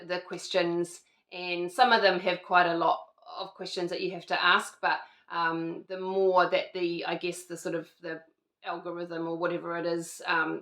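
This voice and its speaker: Middle-aged kiwi woman vlogging at home, lowish gravelly voice, rambly and chatty. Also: a bit bright, echoey room. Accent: New Zealand. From New Zealand. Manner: chatty, rambling, many fillers and restarts. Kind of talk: casual chat to camera. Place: at home indoors.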